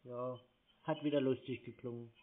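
A man on a prank phone call, answering tersely and deadpan, feigning slowness as a customer. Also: medium pitch. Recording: muffled narrow phone-line sound.

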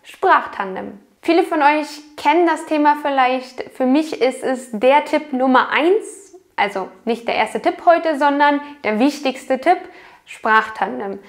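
A young woman speaks to camera in a small, bright room at home, her voice sitting a little high. She explains study tips clearly and patiently, dropping the odd filler as she goes. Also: lively intonation, measured pace. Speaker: language learning YouTuber. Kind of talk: talking-to-camera tips video.

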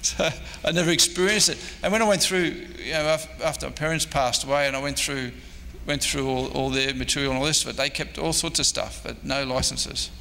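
A man's voice: medium pitch, fairly even intonation, fairly quick, gravelly, hardly any pauses, pushed and bright. An Australian man in his sixties gives an informal talk, rambling along in a hesitant, self-deprecating way. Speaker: historian giving a convention talk. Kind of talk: informal off-the-cuff lecture and audience questions. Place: indoor convention meeting room. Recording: echoey hall, background noise.

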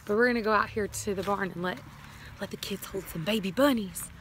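Woman, twang, rambling homestead vlogger, mid pitch, noisy phone audio from the barnyard. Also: a little fast, a bit bright. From Tennessee, USA. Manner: chatty, rambling, laughs often. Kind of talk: casual chat while doing farm chores. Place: outdoors on a farm and in a barn. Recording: noisy outdoor phone recording.

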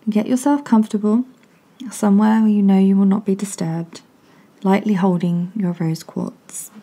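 A woman softly and gently guiding a meditation in a calming, breathy voice with long pauses. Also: mid-range voice. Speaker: meditation guide. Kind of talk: guided meditation, soothing scripted narration.

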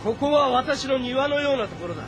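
A man playing a bold, rough mountain rebel leader with a blunt, confident delivery. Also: very high voice, lively intonation, fairly quick, lo-fi sound. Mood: threatening. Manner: blunt, assertive. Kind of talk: dramatic audio drama acting.

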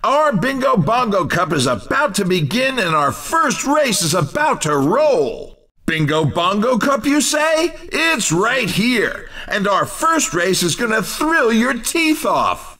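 A man voicing an over-excited, folksy race announcer in a video game, delivering exuberant showman patter. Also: high-pitched, slow pace, recorded from a distance, exaggerated voice. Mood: eager showman. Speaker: racing commentator.

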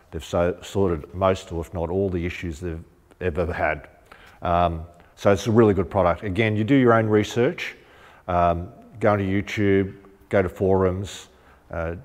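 A man's voice: low-pitched, a little mellow. A man, casually demonstrating rooftop tent fittings in a large warehouse showroom, chatty and practical with lots of ums. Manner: chatty, practical, thinks aloud with frequent ums. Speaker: shop presenter demonstrating rooftop tents. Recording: long warehouse reverb, faint music.

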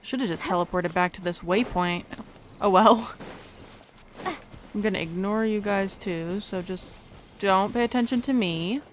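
A woman's voice: mid-range voice, unhurried, slight background noise. A young woman giving casual, informal playthrough commentary, chattily thinking aloud as she plays. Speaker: gaming video creator. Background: game music.

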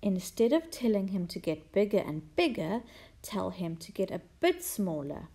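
A woman voicing a clever, courteous gentleman neighbour in a children's storybook, assured and instructive. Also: mid-range voice, sing-song, normal speed. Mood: confident, instructive.

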